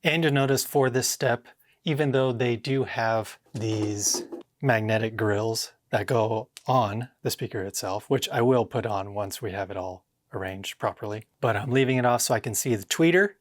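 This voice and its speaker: A man's voice: lowish voice, dry recording, clean studio sound. A man narrating a hands-on vlog casually, thinking aloud and talking to himself with short exclamations. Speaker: home theater DIY vlogger. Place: indoor home theater room with drop ceiling.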